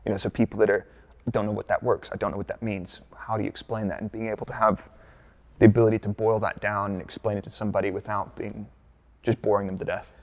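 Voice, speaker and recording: Gravelly laid-back American man. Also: on the low side, little pitch movement, fast, a little mellow. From United States, Dallas. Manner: laid-back, chatty, lots of fillers and jokey asides. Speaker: F1 content creator, former performance engineer. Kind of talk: casual interview answers.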